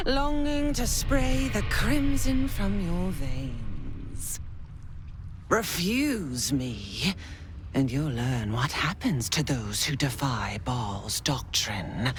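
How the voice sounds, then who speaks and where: A woman playing a sadistic, taunting murder-cult leader in a video game, with theatrical, drawn-out menace. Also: lowish voice, heavily acted. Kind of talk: dramatic video game villain acting.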